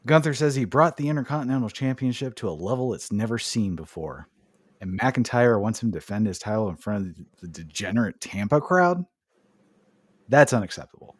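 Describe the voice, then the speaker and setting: A man's voice: on the low side, expressive, brisk, slightly rough, no echo, studio quality. A man hosting a podcast, recapping wrestling in a casual, joking way with swearing. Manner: swearing, laughing recap with jokey put-on voices. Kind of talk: casual chat.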